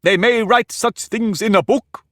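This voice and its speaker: A man's voice: high-pitched, measured pace, bright, forceful tone, no echo, clean studio sound, pitched above the performer's own voice. A man voicing a pompous, touchy talking egg in a storybook reading, boastful and argumentative. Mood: lordly. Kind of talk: audiobook character reading.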